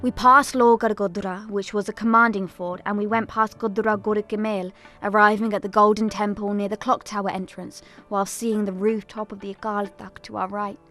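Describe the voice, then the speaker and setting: A woman's voice: medium pitch, little pitch movement, a little fast, few pauses, a bit bright, soft background music, close to an everyday voice. A woman playing an earnest young pilgrim who narrates a family tragedy in a scripted audio drama.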